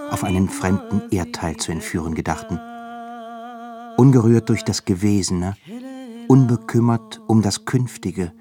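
A male narrator reads literary prose for a radio drama. His voice is low, measured and sober, with music playing clearly behind it.

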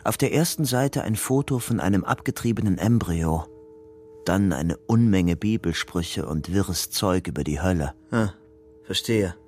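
An actor playing a guarded young ex-convict who acts in and narrates a radio crime drama. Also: male voice, low-pitched, animated, unhurried, darkish tone. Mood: brooding narration.

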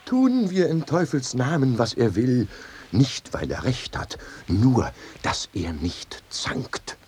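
A man's voice: medium pitch, big pitch swings, takes it slowly. An actor playing an earthy, stubborn wine farmer in a radio play, declaiming and savouring his words. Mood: resigned. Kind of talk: theatrical radio play acting with some singing.

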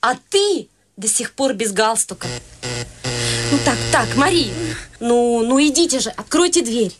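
A woman's voice: on the high side, lively intonation, measured pace, over music. An actress playing a fussy, bossy wealthy widowed mother in a radio play. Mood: flustered.

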